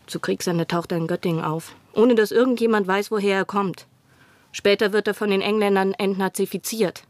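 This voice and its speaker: An actress in a German radio drama playing a desperate young spy in love, speaking with earnest intensity and sometimes a hushed breath. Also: female voice, mid-range voice, no echo, close to an everyday voice. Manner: earnest, intense, at times dropping to breathy whispers. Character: desperate female spy in love, pleading and persuading.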